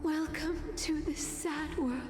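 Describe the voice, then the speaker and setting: Slow, echoing, high-voiced blessing-giver. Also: female voice, steady tone. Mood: solemn, tender. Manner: hushed, ritual, soft. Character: solemn, tender woman chanting a sacred blessing. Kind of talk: video game voice acting. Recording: echoey voice with music behind. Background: music.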